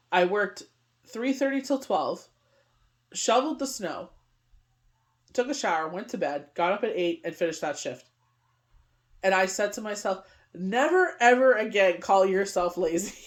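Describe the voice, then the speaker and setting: A woman's voice: medium pitch, expressive, normal speed. A middle-aged woman from Canada giving a casual daily tarot reading, rambling and constantly saying "you know what I mean". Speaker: tarot reader.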